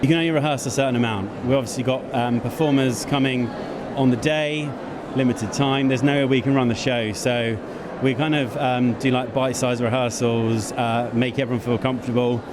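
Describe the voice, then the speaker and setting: A man, an event producer, answering interview questions backstage with halting, hesitant phrasing. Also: mid-range voice, steady tone. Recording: noisy busy backstage location.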